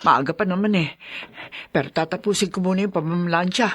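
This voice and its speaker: A woman's voice: fairly low pitch, fairly quick, a bit bright, husky, lo-fi sound. An actress playing an anxious older mother-in-law in a radio drama, muttering fretfully to herself and exclaiming. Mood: flustered muttering.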